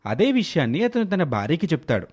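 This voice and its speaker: A man's voice: fairly high pitch, sing-song, quick pace, a little mellow, husky. A man narrating a scripted moral story.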